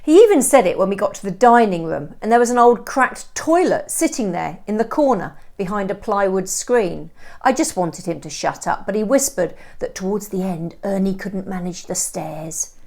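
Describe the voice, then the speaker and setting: A woman reads a story aloud as a teacher would, with expressive, clearly phrased delivery. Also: mid-range voice, normal speed. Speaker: English teacher.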